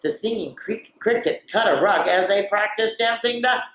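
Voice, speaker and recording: A woman's storytelling voice in an echoey room. She reads to children in an expressive, playful way and breaks off for chatty asides, speaking to her young listeners directly. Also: mid-range voice, moderate pace. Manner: expressive, playful, drops into whispers for refrains. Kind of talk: animated read-aloud for children with chatty asides. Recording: roomy, echoey sound.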